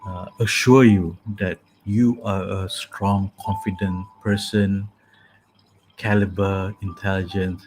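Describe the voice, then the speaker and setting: A man with a calming, soothing voice hosts a casual bedtime talk. He speaks in a low register and very slowly, pausing often on soft fillers. Gentle music plays clearly behind him. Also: rough recording. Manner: soft-spoken, hesitant with many fillers. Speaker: host. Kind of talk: casual spoken sleep meditation talk.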